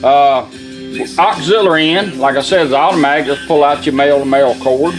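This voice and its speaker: Man demoing a radio, higher-pitched voice, music around. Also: a little fast, rough recording. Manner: plain-spoken, informal. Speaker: car radio restorer demonstrating product. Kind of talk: casual hands-on product demonstration.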